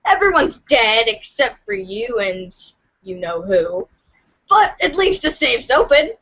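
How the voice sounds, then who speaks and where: A woman giving an animated dramatic reading of a comic story for a podcast. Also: on the high side, slow, poor audio. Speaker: podcast reader.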